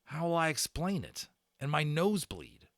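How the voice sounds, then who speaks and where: Man voicing a shaken, insistent schoolboy, raised pitch. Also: big pitch swings, normal speed, a bit bright, no room sound, studio quality. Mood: worried. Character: shaken, stubborn young British schoolboy, earnest and urgent.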